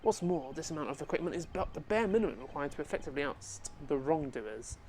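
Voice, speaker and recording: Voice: medium pitch, animated, fast, darkish tone, a little hiss or hum, close to an everyday voice. A player acting a pompous, boastful game knight. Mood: stern. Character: proud, boastful knight obsessed with weapons and duty.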